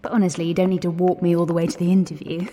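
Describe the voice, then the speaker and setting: A woman's voice: fairly low pitch, little pitch movement, rapid-fire, dark tone, slight background noise, close to an everyday voice. An actress playing an anxious, earnest young Englishwoman in a period radio drama, with an English accent and a nervous, questioning manner. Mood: earnest, reassuring. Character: anxious, earnest, self-taught young working-class Englishwoman, Agnes. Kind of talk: 1920s-set radio drama acting. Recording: reverberant hall-like space.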